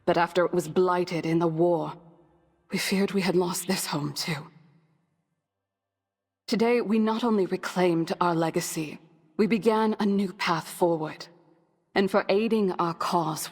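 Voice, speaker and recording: An actress playing a dignified undead elf woman ranger in a video game cutscene, breathy, formal, with an otherworldly reverb. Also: lowish voice, darkish tone, heavily acted. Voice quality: breathy, husky. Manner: measured, formal. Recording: long hall-like reverb, faint music.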